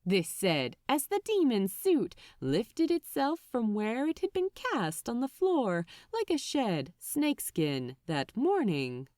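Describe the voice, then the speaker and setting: Very animated, unhurried woman narrator. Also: fairly high pitch, dry recording, clean studio sound. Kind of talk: expressive scripted fiction narration.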